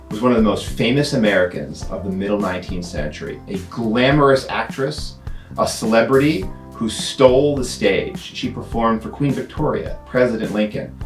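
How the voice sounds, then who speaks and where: A man's voice: medium pitch, echoey room. A man presenting to camera as a playful, self-aware museum education host.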